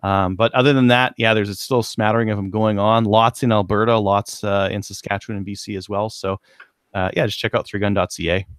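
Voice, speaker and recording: Man, Canadian accent, lower pitch, casual podcast chat, dry room sound. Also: steady tone, brisk, clear, resonant tone, no room sound. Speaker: podcast co-host.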